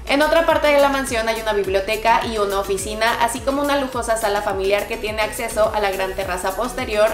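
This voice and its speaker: A woman, reading an upbeat scripted narration for a celebrity house tour and talking to her viewers as friends. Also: on the high side. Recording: roomy voice-over with background music.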